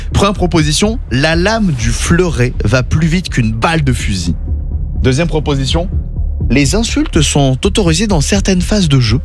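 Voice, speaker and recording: A man's voice: fairly high pitch, very animated, normal speed. A male radio host presenting a morning show, chatty and upbeat, running a quiz and announcing tracks in a sing-song way. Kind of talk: lively radio chat and quiz hosting. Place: radio studio. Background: faint music.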